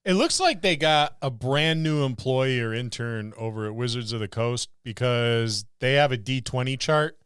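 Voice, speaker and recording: A man's voice: medium pitch, moderate pace, few pauses, a bit bright, clear, resonant tone, no room sound. A middle-aged man co-hosting a podcast, chatting casually about tabletop gaming with dry, wry teasing. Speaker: podcast co-host.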